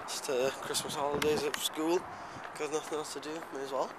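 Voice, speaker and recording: A man's voice: fairly high pitch, little pitch movement, a little fast. A teenage male amateur vlogger talking casually and hesitantly in a meandering, offhand way while walking. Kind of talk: casual rambling walking vlog. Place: outdoors on a residential street, walking. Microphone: handheld camera microphone. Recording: handheld camera outdoors, loud street noise.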